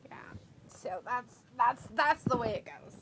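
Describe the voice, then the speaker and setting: A woman's voice: fairly high pitch, lively intonation, unhurried, background noise. A woman co-hosting a wrestling watch-along, chatting casually, reading viewer comments aloud and often breaking into laughter.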